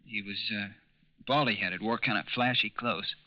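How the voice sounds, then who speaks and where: A man's voice: lowish voice, normal speed, close to an everyday voice. An actor playing a timid, gullible young man who speaks in meek, hesitant boyish slang in a 1940s radio crime drama. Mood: sheepish. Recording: muffled 1940s radio recording.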